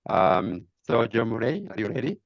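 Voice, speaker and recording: A man's voice: medium pitch, very animated, normal speed, vocal fry, dry recording, muffled recording. A man moderating a webinar over a video call, polite and formal, with fillers.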